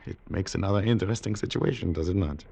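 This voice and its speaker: An actor playing a courteous, sly colonial government official in a 1940s radio drama, speaking formally with polite insinuation. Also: male voice, deep voice, a little fast, creaky, dark tone, breathy edge, full character voice. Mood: sly, amused. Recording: noisy vintage radio recording.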